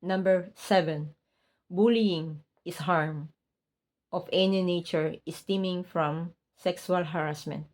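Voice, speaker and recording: A woman from the Philippines with a Filipino accent, whose first language is Tagalog, talking casually as a vlogger and then reading a policy aloud with careful, halting pace and small slips. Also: lowish voice, a little mellow. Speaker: vlogger, hotel housekeeper.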